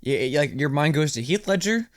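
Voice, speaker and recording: A man's voice: a little high, animated, fairly quick, dry recording, studio quality. A man co-hosting a podcast in casual conversation, talking in an informal, slangy way. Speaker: podcast co-host. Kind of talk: casual chat.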